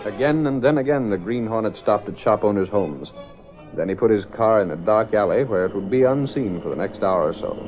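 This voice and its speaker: A man's voice: on the low side, lively intonation, fairly quick, natural voice. A man narrating a scripted 1930s radio serial as its announcer. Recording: tinny old radio recording over music. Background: dramatic orchestral music.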